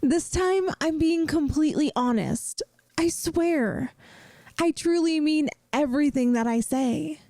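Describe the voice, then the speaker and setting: A woman playing a caring young best friend in a soft-spoken ASMR roleplay, gentle and earnest, hesitant at times, offering reassurance. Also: high-pitched, a little slow, no room sound. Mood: earnest, affectionate.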